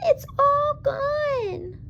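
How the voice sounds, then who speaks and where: A woman voicing an upset little boy bear cub with a squeaky, plaintive voice for a children's storybook. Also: expressive, drawn-out words, bright, forceful tone, muffled recording, full character voice. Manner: plaintive, exclaiming.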